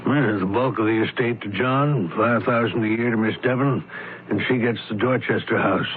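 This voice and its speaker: An actor playing a weary, fretful estate lawyer, dry and emphatic, in a radio mystery drama. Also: male voice, on the low side, moderate pace, natural voice. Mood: fretful, confiding. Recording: noisy, tinny old radio broadcast.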